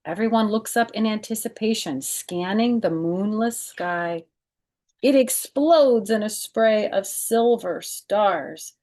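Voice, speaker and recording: A woman's voice: medium pitch, slow pace, dark tone. A woman hosting a children's storytime, reading a picture book aloud expressively and adding chatty, informal explanations.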